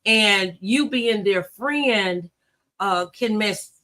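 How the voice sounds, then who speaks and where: Bright-voiced woman hosting a talk show. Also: medium pitch, very slow. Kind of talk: conversational interviewing.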